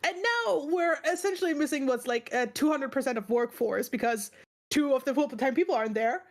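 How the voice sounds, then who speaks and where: A woman's voice: high-pitched, brisk. A woman in a casual chat, venting in a rambling, emphatic, exasperated way, stressed and upset, swearing as she goes. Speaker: book club stream co-host.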